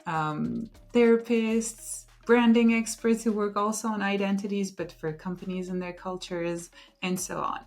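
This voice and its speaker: A woman hosting her own podcast, introducing it in an earnest, unhurried way with thoughtful pauses. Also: lowish voice. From Luxembourg. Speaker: podcast host. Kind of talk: reflective solo podcast intro.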